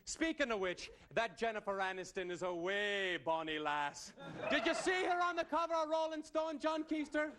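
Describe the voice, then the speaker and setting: An actor playing a hot-tempered Scottish warrior rebel in a comedy sketch, declaiming theatrically with a Scottish accent. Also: male voice, very high voice, expressive, normal speed, background noise. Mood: lusty. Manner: declamatory, theatrical, swinging into bellows. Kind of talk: mock-heroic rallying speech in live sketch comedy.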